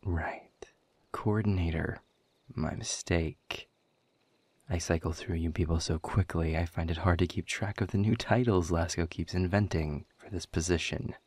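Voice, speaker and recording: Male actor as a jaded demon director, audio drama, dry sarcasm, slow drawl, very breathy husky tone, close dry recording. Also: sits low, steady tone, a little mellow, no room sound, heavily acted. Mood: sardonic, unwelcoming. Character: sardonic, world-weary male demon director of a haven. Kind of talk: scripted audio roleplay monologue addressed to the listener.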